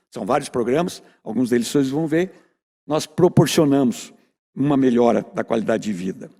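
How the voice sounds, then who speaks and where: A man's voice: a little high, darkish tone. A man with a Brazilian accent giving a semi-formal speech at a council session in a conversational way, often checking for agreement with short tag questions. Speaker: youth apprenticeship programme representative. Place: large echoing meeting chamber. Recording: reverberant hall public address.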